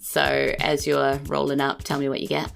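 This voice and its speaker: A woman's voice: deep voice, completely flat delivery, moderate pace, gravelly, soft background music. A woman with a New Zealand accent improvising narration as the game master of a tabletop game.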